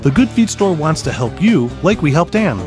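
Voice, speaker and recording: A male announcer with a fairly high, very animated voice narrates a testimonial ad. Music is clear behind him. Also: moderate pace.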